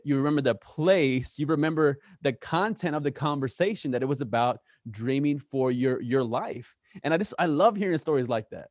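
A man's voice: mid-range voice, dry recording. Enthusiastic male podcast host, dry sound. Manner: enthusiastic, repeats words when excited. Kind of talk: podcast interview.

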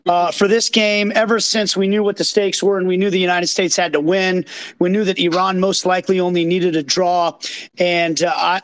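A hoarse man from Kansas City hosting a live post-match reaction, talking in an excited, rambling, self-deprecating way from a green room backstage. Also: sits high. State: hoarse from yelling. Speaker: podcast host. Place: green room behind an outdoor stage. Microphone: phone.

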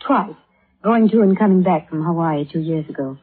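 An actress playing an anxious, bewildered, polite wife in a 1950s radio drama, speaking hesitantly and with emotion. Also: female voice, fairly low pitch, moderate pace, husky. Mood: guarded, polite. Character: anxious middle-class American wife, polite, bewildered, wounded. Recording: muffled vintage radio recording.

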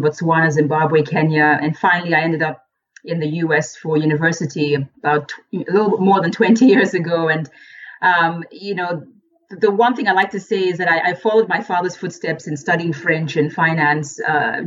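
A woman in her forties from Ghana, a guest speaker and coach, giving thoughtful, unhurried interview answers and often restarting her words. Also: low voice, highs cut off.

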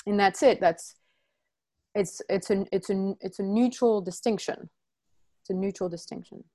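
Woman, from France, workshop facilitator, halting, frequent restarts, explaining slowly. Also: mid-range voice, warm tone. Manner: halting, many restarts and self-corrections. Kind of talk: teaching a group, reading from notes.

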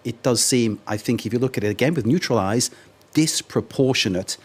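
Male anchor, medium pitch, measured broadcast interviewing style with a probing edge. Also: husky.

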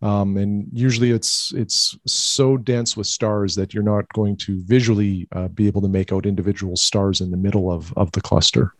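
Easygoing low-voiced man explaining. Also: steady tone, moderate pace, hardly any pauses, no echo, clean studio sound. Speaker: podcast co-host. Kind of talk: casual astronomy chat.

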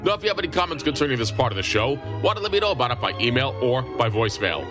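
A man hosts a live radio talk show about radio history. He speaks briskly at a middling pitch, with music clearly heard behind him. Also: very animated.

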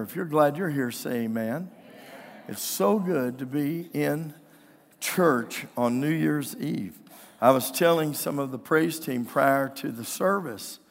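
A man's voice: a little high, vocal fry. A man preaching a conversational sermon as a pastor, folksy and earnest, his voice strained and weakened, often asking the congregation for an amen. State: strained, weakened voice with throat clearing. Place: indoors, preaching in a church. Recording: church hall with long reverb.

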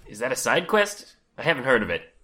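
An actor playing a quest-obsessed, nerdy young gamer in a sci-fi audio drama. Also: male voice, medium pitch, sing-song, brisk, pushed and bright. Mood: bored, eager.

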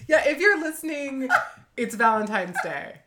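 A woman co-hosting a podcast in casual chat, playful and quick to laugh. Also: high-pitched, animated, bright, forceful tone. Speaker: podcast co-host.

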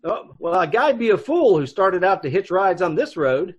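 A folksy male gas station attendant, acted by a man, chatty and a little hesitant. The voice is high for a man and husky, with very lively swings, in old-time radio style. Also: normal speed, dull audio, exaggerated voice. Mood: puzzled, folksy.

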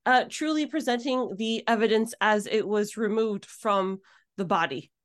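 A woman's voice: mid-range voice, moderate pace. A woman hosting a podcast in a casual, conversational interview style. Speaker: podcast host.

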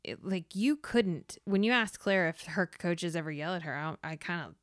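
A woman's voice: fairly low pitch, lively intonation, moderate pace, dry recording, studio quality. A woman telling personal anecdotes in casual conversation as a podcast co-host, acting out the remarks she quotes.

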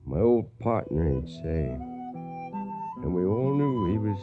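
An actor playing a plainspoken old cowhand, narrating a radio western in a folksy, reflective way. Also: male voice, sits low, big pitch swings, measured pace, phone-quality sound, music in the background, full character voice. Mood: wistful. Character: plainspoken old cowhand recalling his trail days.

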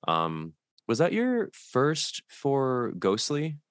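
A man's voice: mid-range voice, huge pitch swings, very slow, no room sound, clean studio sound. A man hosting a casual interview, asking rambling, hesitant questions with light laughs. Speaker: podcast host.

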